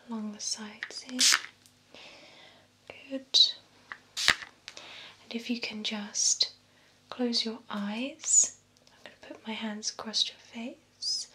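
A young woman playing a poised, courteous female vampire spa beautician in a breathy, soft ASMR whisper. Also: a little high, poor audio. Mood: soothing, professional. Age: young adult. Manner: slow, soothing, formal, attentive. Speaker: ASMR performer. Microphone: close binaural microphone. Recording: close ASMR microphone with background hiss.

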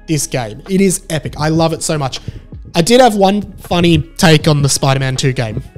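A man in his thirties vlogging about video games in a casual, animated, emphatic way into a handheld microphone. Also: a little high, normal speed. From Australia. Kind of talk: casual enthusiastic talk to camera. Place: small room at home, indoors. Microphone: handheld microphone close to the mouth. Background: background music.

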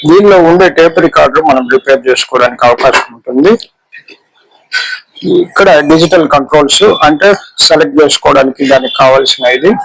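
A man gives an informal, hands-on repair explanation, haltingly and repetitively, mixing in English technical terms. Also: on the high side, a bit bright, no echo, low-quality recording. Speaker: electronics repair demonstrator. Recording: clear background noise with clicks.

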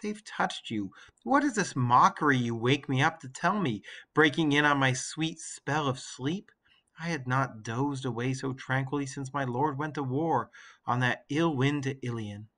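A man playing a guarded, doubting queen in a story read aloud, subdued and measured. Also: mid-range voice, sharp tone, voice pushed down. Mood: doubtful, subdued. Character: guarded, doubting middle-aged queen and mother.

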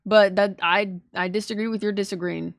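A woman's voice: medium pitch, steady tone, moderate pace, creaky, background noise. A woman in her twenties giving casual, gushing, slangy and playful reaction commentary as a streamer. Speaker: streamer reacting to game trailers. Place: small indoor streaming room at home. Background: faint trailer music.

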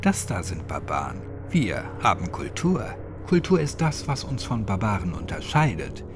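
A man voicing a gruff, crude, sadistic old man with a clipped, mocking way of talking, in a horror audiobook. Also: on the low side, big pitch swings, moderate pace, over music. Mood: threatening.

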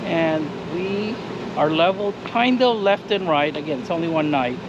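A man's voice: fairly high pitch, lively intonation, moderate pace, dull audio, noisy background. A middle-aged man chatting casually to his action camera as he walks viewers around a campsite. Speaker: vlogger. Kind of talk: casual travel vlog. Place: outdoors at a campground beside a trailer. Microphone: action camera on a short pole at arm's length.

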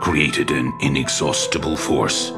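An actor playing a grave, ominous storyteller, narrating a fantasy war game in a deliberate, scripted epic style. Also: male voice, rumbling low, fairly even intonation, loud music behind the voice. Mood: solemn, ominous.

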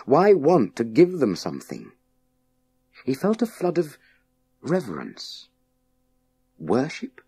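Male audiobook narrator for young people's sci-fi: low, very unhurried, suspenseful, with dramatic pauses. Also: darkish tone, breathy edge. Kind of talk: scripted dramatic narration of a children's sci-fi story.

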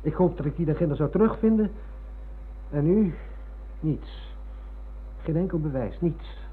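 Husky, high-pitched nostalgic man. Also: lively intonation, measured pace. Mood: wistful, insistent. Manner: earnest, polite, dwelling on words. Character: nostalgic, earnest middle-aged man revisiting his hometown. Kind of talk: radio drama acting. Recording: narrow phone-like old radio recording.

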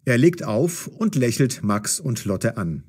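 A man's voice: medium pitch, sing-song, slow pace, a little mellow, slightly rough. A man narrating a scripted story as the narrator of an audio drama.

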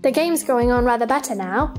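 A woman's voice: on the high side, expressive, brisk, a little mellow, faint music behind, close to an everyday voice. A woman playing a curious, polite little girl in an audiobook play, with a questioning manner. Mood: polite, puzzled. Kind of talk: audiobook stage play acting.

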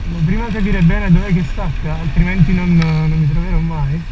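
A man's voice: fairly high pitch, fairly even intonation, brisk, distant mic. Young man vlogging from a motorbike. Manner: chatty, self-mocking. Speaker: motovlogger. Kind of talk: casual motovlog commentary. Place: on a motorcycle outdoors on city streets. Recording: muffled helmet audio with loud road noise.

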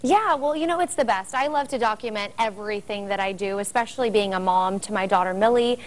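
A woman's voice: medium pitch, brisk, low-quality recording. An American woman in her thirties speaking conversationally as a spokesperson in a promotional TV interview. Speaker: guest spokesperson promoting a product. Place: furnished living-room set indoors. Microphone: lapel mic.

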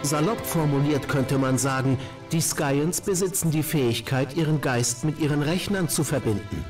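A man's voice: fairly high pitch, moderate pace, distant mic, music in the background, lightly acted. A man playing a cold, analytical robot companion in a video game, speaking in clipped, formal, technical phrases. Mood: flat, analytical.

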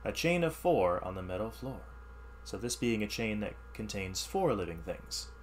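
A man teaching through an expressive read-aloud of rhyming science verse, with explanatory asides in an instructional manner. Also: on the low side, moderate pace, slight background noise. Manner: animated, instructional.

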